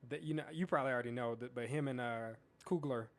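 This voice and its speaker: A man's voice: mid-range voice, quick pace. An easygoing man co-hosting a podcast in casual chat, often tossing in "man" and "you know". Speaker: podcast co-host.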